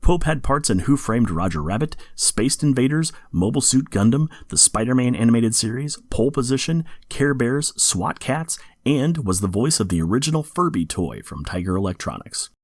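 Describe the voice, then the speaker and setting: A man's voice: medium pitch, expressive, normal speed, hardly any pauses, no echo, studio quality. A man hosting a podcast, reading informative scripted background in a geeky manner. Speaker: podcast host.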